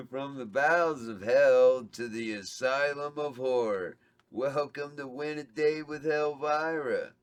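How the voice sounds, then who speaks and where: A man's voice: mid-range voice, slow. A man reading a comedy horror script's stage directions aloud in a matter-of-fact tone at a table read.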